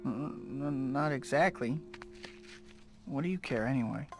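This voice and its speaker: A man's voice: medium pitch, measured pace, music in the background, natural voice. An actor playing a wisecracking young male superhero in a video game, wry, earnest and conversational. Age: young adult.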